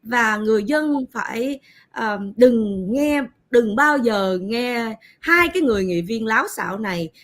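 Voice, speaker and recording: A woman interviewer with a Vietnamese accent, talking quickly and animatedly with many fillers. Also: a little high, expressive. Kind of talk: interview and translating.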